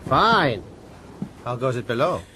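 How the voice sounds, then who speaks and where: Easygoing, lilting sailor. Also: male voice, mid-range voice, sing-song, takes it slowly. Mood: affable. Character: easygoing sailor at the ship's wheel. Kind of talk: radio drama acting. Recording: clear ambient noise behind.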